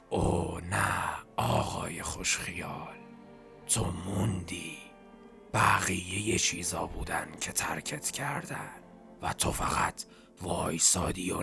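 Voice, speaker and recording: A man voicing a cruel, mocking male voice inside a detective's head in a fan game dub, with a gravelly, breathy whisper and a scornful, needling delivery. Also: low-pitched, pushed and bright, exaggerated voice. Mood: menacing. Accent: Persian.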